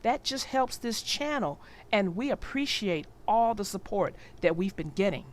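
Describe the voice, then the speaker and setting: A woman narrating a storybook for young children in an expressive, deliberate way. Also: medium pitch.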